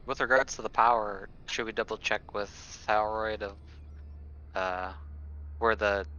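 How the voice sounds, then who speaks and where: A man's voice: on the low side, steady tone, unhurried, pushed and bright. A man casually asking questions as a player in a tabletop roleplaying game. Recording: slightly dull lo-fi mic.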